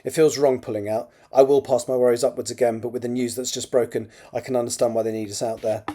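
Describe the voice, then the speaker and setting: A man plays a dutiful army commander in an audiobook. He speaks quietly and with unease, in a soft, dark timbre. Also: mid-range voice, level tone, fast talker, natural voice. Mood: uneasy resignation.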